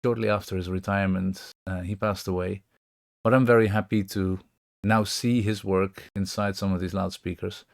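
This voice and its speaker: A man presenting an informal, unscripted technical explanation in a measured way, as a voice-over. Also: low-pitched, fairly even intonation, gravelly, no echo, studio quality.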